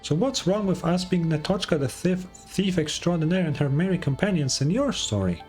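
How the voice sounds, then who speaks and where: A woman voicing a perky, bossy little fairy companion in an anime game, squeaky and exclamatory. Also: lively intonation, normal speed, a little mellow, faint music behind, close to an everyday voice. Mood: playful, proud. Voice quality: squeaky, childlike. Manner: refers to self in third person, exclamatory. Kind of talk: anime video game voice acting.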